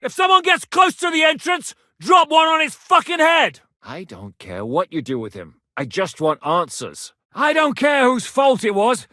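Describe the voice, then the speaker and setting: A gruff medieval war captain for a game, dry and blunt, really animated pitch, close dry sound. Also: male voice, normal speed, hardly any pauses, no room sound, full character voice. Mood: urgent battle shouting, then wry, resolute. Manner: blunt, commanding, sardonic. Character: battle-hardened medieval rebel commander, gruff, dry-humoured, resolute. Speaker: military commander in a video game. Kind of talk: dramatic video game character acting.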